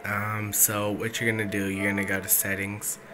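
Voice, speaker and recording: A man with a fairly low voice walks through a tech tutorial in an informal, off-the-cuff way. He pauses hesitantly as he goes. Music plays clearly behind him, and he is recorded on a handheld camera in a small room. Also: monotone. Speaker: video creator giving a tech tutorial. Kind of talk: casual step-by-step tutorial. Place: indoors, small dim room at a desk setup. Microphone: handheld camera or phone mic.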